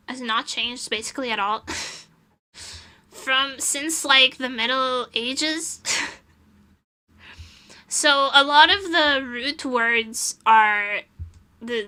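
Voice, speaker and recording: A woman's voice: a little high, pushed and bright, clear, resonant tone. A young woman from Portugal chatting casually on a live stream, answering chat and laughing often.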